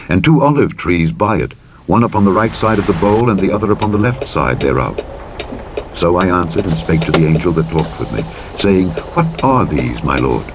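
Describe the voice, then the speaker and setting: A man reads the King James Bible aloud as a narrator, in a solemn, deliberate, declamatory style with measured phrasing. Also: sits low, noisy background. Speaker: scripture narrator. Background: truck driving sounds.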